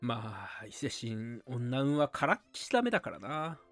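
A man acts a matter-of-fact young friend in a homemade radio drama. He teases in a dry, resigned way at an ordinary pitch, over quiet music. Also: big pitch swings, moderate pace, dry recording. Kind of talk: amateur radio drama dialogue.

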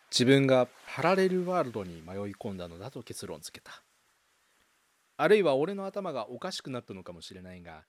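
A man playing a bewildered, hesitant young man in an amateur radio drama. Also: on the low side. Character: bewildered young man lost in a parallel world. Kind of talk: amateur radio drama dialogue and inner narration.

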